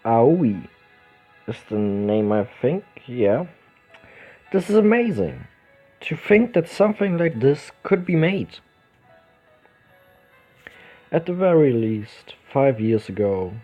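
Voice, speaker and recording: A man reading a visual novel's narration aloud, slowly and with small stumbles and restarts. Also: medium pitch, mellow. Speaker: let's play host. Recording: dull lo-fi recording with game music behind.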